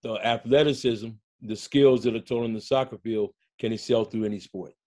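An older man and school coach speaking on a video call from his home, telling stories in a slow, reflective way during a panel discussion. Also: mid-range voice, fairly even intonation, mellow. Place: home room, indoors.

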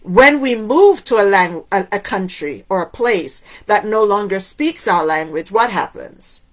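A woman's voice: medium pitch, expressive, a little slow. A middle-aged woman from Cuba whose first language is Spanish, preaching a sermon to camera in an emphatic way, asking many rhetorical questions. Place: indoors in front of a waterfall backdrop.

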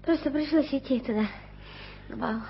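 An actress voicing Tommy, a scrappy, eager street child who talks in a quick, chirpy way. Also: female voice, sits high. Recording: dull lo-fi radio recording with hiss.

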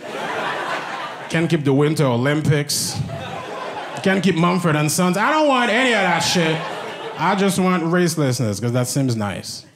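A man's voice: very animated, a little slow, pauses often, crowd noise, noisy background. A young man from Haiti with a Haitian accent, whose first language is Haitian Creole, performing a conversational stand-up set on a club stage with a handheld mic. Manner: conversational, jumps into high-pitched impressions of others. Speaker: stand-up comedian. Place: comedy club stage before a live audience. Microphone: handheld stage mic on a stand cable. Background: faint audience laughter.

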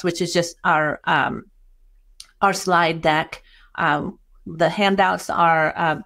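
A middle-aged woman from Chile with a Chilean Spanish accent touched by Texan, hosting a bookkeeping webinar over a video call in a welcoming way with many fillers. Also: lowish voice. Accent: Chilean Spanish with some Texan. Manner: warm, welcoming, with frequent fillers. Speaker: webinar host and bookkeeping educator. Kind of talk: webinar presentation.

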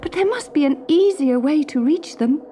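A woman voicing a spoiled, demanding young princess in a storybook, with petulant, breathy exclamations. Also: high voice, expressive, moderate pace, mellow, a little hiss or hum, pitched above the performer's own voice. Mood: awed, breathless.

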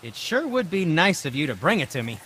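An actor playing a fastidious, chatty dwarf merchant in a video game, theatrical, precise and a little nervous. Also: male voice, on the high side, big pitch swings, heavy background noise. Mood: eager, polite.

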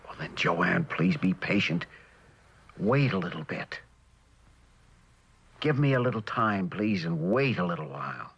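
An actor playing an earnest, driven scientist, intense and pleading, in mid-century TV drama style. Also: male voice, deep voice, lively intonation, a little slow, creaky, pauses often, fairly bright tone, husky, exaggerated voice. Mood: pleading, weary. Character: earnest, burdened, driven project scientist and husband. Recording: dull old television soundtrack.

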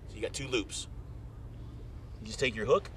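A man's voice: lowish voice, moderate pace. Brief: middle-aged man, plain folksy how-to talk, recorded from a few feet off outdoors, faint boat hum. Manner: plain, folksy, step-by-step instructions. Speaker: fishing guide. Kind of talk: casual how-to demonstration. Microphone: camera mic from a few feet away. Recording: distant outdoor camera audio with faint boat noise.

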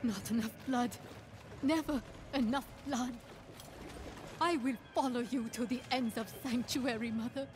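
A woman voicing an obsessed, paranoid middle-aged sorceress mother in a video game, slow and fervent. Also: a little high, lightly acted. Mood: fervent, ominous. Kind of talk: dramatic video game voice acting. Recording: loud game music behind. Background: loud music.

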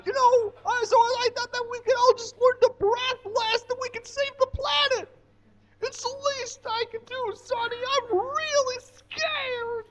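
A man voicing an anxious, dim, whiny puppet boy in squeaky, halting falsetto in a live comedy puppet show. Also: steady tone, a bit bright, poor audio. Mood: scared, pleading. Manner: slow, drawn-out, halting delivery.

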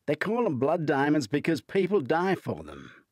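A brash, mocking buddy from an audiobook thriller, acted out by a male reader. He needles in punchy, exclamatory bursts, pitched high and swinging widely. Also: wildly swooping pitch, normal speed, a little mellow, slightly rough, no echo, clean studio sound, full character voice. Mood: insistent. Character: brash, mocking man, pushy teasing veteran buddy.

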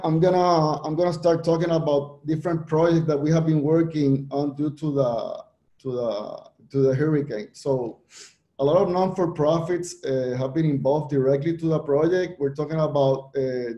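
A man from Puerto Rico speaking as a panelist, halting with many restarts and fillers. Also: fairly high pitch, mellow, echoey room, highs cut off. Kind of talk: presenting on a virtual panel.

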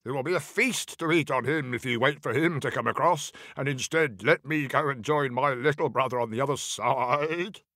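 A man's voice: medium pitch, normal speed, few pauses, pushed and bright, no echo, studio quality. A man voicing a wily, pleading middle billy goat brother who bleats between phrases, in a storybook telling. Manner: punctuates speech with bleats.

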